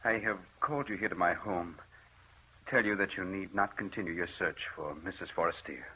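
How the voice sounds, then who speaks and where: An actor playing a grave doctor in an old radio drama, speaking in a formal, measured way. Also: male voice, sits low, close to an everyday voice. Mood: solemn, formal. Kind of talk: 1940s radio drama acting. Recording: muffled, hissy old radio recording.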